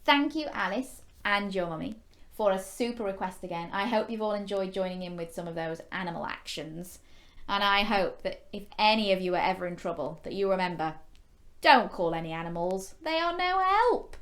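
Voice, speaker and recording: A woman's voice: mid-range voice, animated, normal speed, a bit bright. A woman hosting a children's story time, reading a picture book expressively with exclamations and playful questions to young listeners. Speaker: children's storyteller and show host.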